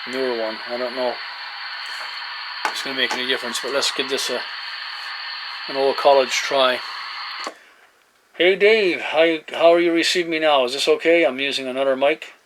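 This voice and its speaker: A man in casual two-way radio chat as a hobbyist, speaking in halting phrases with radio slang. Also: mid-range voice, background noise.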